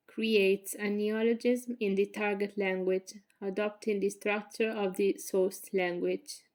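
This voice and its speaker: A young woman in her twenties with an Italian accent, reading a prepared presentation as a graduate student, careful and measured with small slips. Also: mid-range voice, darkish tone.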